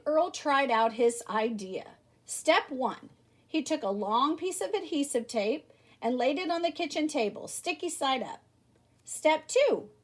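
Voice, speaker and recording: Woman, high pitch, very varied intonation, animated storybook read-aloud, teacherly, theatrical emphasis. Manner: animated, theatrical emphasis with exclamations and rhetorical questions. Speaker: teacher reading a picture book aloud.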